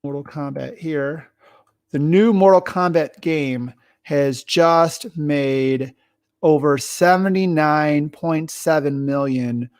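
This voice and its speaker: A man's voice: a little high, dry recording, studio quality. A man hosting a podcast in a casual style, partly reading from notes. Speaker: podcast host.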